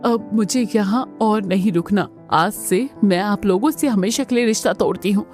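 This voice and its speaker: A woman's voice: mid-range voice, moderate pace, a little mellow, soft background music, lightly acted. A woman voicing a timid, long-suffering young daughter-in-law who turns defiant, with emphatic delivery in a cartoon family melodrama.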